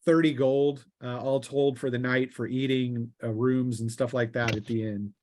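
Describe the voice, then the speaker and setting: Halting male game master, explaining as he goes. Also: mid-range voice, level tone, darkish tone, slight background noise. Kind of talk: casual tabletop roleplaying narration and rulings.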